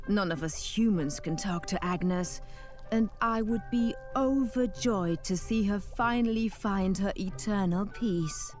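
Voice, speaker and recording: A woman's voice: medium pitch, unhurried, over music, lightly acted. A woman voicing a kind, helpful young village woman in a game cutscene.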